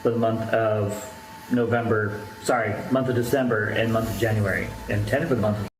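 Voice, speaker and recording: A man's voice: lowish voice, fairly even intonation, brisk. A man speaking candidly to his viewers about himself in a personal streamer monologue. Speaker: YouTuber streamer. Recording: loud-enough roomy echo with background noise.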